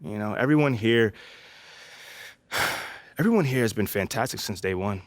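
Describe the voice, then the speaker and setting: Guy playing chill young american hooper dude, lowish voice, friendly slangy talk, video game cutscene, dry close sound. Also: lively intonation, normal speed, no echo, lightly acted. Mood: sincere, earnest. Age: young adult. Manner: laid-back, friendly, informal slangy talk. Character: easygoing, polite young male pro basketball player. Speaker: professional basketball player. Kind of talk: casual conversational video game cutscene acting.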